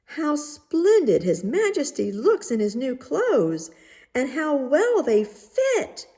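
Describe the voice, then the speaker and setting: A woman's voice: sits high, huge pitch swings, slow pace, warm tone, voice pushed up, full character voice. A woman voicing fawning storybook courtiers and townsfolk with exaggerated, swooning admiration. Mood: awed delight. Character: gushing crowd of fawning royal courtiers and townsfolk. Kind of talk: storybook character voice.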